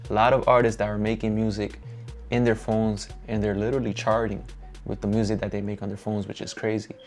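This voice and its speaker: Young Dominican guy, low steady voice, casual and upbeat, touring his home studio. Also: gravelly. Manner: relaxed, upbeat and encouraging, slangy. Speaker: musician giving a studio tour. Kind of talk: casual vlog walkthrough. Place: home room turned music studio.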